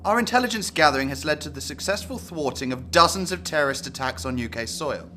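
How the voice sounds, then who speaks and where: An actor playing an indignant security service officer in a radio drama, sarcastic, accusatory and given to swearing. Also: male voice, on the high side, expressive, fast talker, sharp tone, a little hiss or hum.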